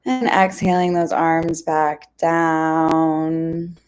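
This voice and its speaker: Slow-paced woman guiding a meditation. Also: sits low, little pitch movement, clear, resonant tone. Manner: gentle, reassuring, informal. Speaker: guided meditation instructor. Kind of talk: guided mindfulness meditation, addressed to viewers.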